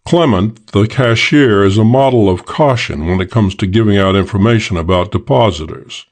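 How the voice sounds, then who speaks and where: A man playing a careful, matter-of-fact private detective with a measured manner in an audiobook. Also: on the low side. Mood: businesslike. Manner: businesslike, measured. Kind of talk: audiobook character acting.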